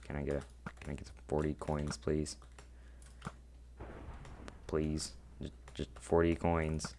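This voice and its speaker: A teenage boy with a deep voice, rambling awkwardly and putting himself down while commenting on his own gameplay. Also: little pitch movement, slow, background noise. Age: teens. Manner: rambling, self-deprecating, awkward. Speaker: gaming channel host.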